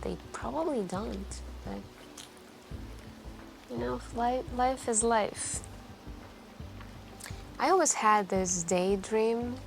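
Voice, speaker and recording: A wistful, mysterious woman , played by an ASMR roleplayer, speaks softly and intimately, as if to a stranger in a late-night café. She takes her time, musing hesitantly and letting pauses linger. Music plays quietly behind her medium-pitched voice. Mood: wistful, reflective. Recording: background music.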